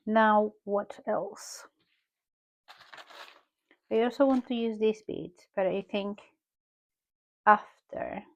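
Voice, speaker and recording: A woman from Peru with a strong Peruvian Spanish accent, talking casually through an art journal page as she paints, often pausing and correcting herself as she thinks aloud. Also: medium pitch. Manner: musing aloud while painting, unhurried and self-correcting. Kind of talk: casual art tutorial narration.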